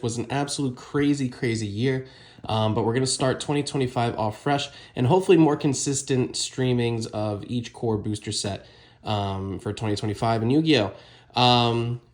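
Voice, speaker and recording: A man's voice: mid-range voice, normal speed, darkish tone. A man with a cold, sounding a bit nasal, chatting casually while he opens trading card packs for his channel. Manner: chatty, many ums and uhs. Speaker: card collector opening booster packs. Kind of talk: casual unboxing vlog.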